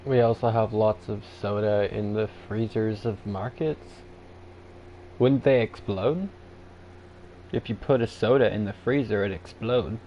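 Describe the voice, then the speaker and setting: A fairly low-voiced man with a Canadian English accent chats loosely through a livestream. He wanders off mid-sentence and leans on fillers and restarts. The sound is muffled and lo-fi, with a faint hiss underneath. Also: fairly even intonation, measured pace. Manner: rambling, many fillers and restarts. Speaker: streamer answering chat. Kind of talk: casual livestream chat.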